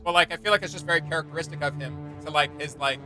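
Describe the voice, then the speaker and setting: A man's voice: a little high, very fast, over music. A man giving casual reaction commentary as a video host, thinking aloud in a rambling way with trailing asides.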